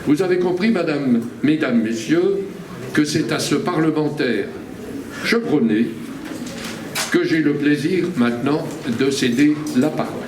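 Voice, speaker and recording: A man reading a formal, measured introduction of a guest speaker at a conference. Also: on the high side, noisy background. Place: large conference hall. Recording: distant mic in an echoey hall with audible ambience.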